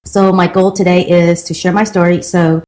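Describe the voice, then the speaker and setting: Middle-aged woman, Taiwanese accent, second-language English, slightly low voice, earnest storyteller. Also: flat delivery, a little mellow. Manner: earnest, reflective, slow and careful. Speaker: guest, marketing consultant. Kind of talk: podcast interview storytelling.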